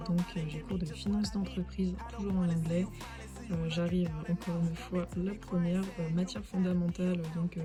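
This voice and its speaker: A young woman talks her viewers through her student week in an easy, rambling way, full of little pauses and fillers. Her voice sits a bit low and sounds soft and mellow. Faint music runs behind her. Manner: relaxed and chatty, many "euh" fillers. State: stuffy with a cold. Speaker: vlogger, finance master's student. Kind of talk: casual vlog narration.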